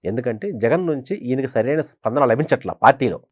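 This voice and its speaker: A man's voice: medium pitch, lively intonation, fairly quick, darkish tone, slightly rough, no room sound. Emphatic male political analyst, dry sound. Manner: emphatic, repeats phrases for stress. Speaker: senior journalist, political analyst. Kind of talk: conversational political commentary.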